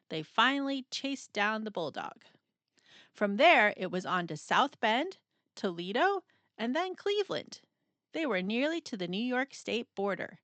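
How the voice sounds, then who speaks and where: A woman narrating a children's picture book aloud in a dramatic, expressive storytelling manner with suspenseful pauses. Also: medium pitch, dry recording.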